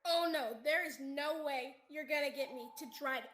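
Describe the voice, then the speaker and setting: A woman's voice: high voice, moderate pace, fairly bright tone. A teenage woman acting an anxious, sceptical survivor in a radio theatre play. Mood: exasperated. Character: anxious, sceptical trapped survivor, quick to blame. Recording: echoey room, faint noise.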